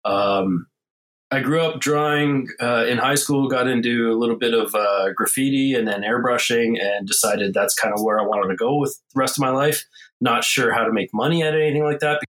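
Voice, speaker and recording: A man's voice: mid-range voice, moderate pace, a bit bright, rough recording. A man in his forties, talking as a podcast guest in a loose, rambling way with many fillers and restarts. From Canada. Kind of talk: casual interview conversation.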